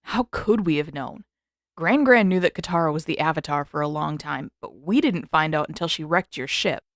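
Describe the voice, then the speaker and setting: A woman's voice: low-pitched, moderate pace, dry recording, clean studio sound, voice pushed down. A woman voicing a blunt, exasperated teenage boy in a dramatic story reading. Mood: questioning, blunt. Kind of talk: dramatic audiobook character reading.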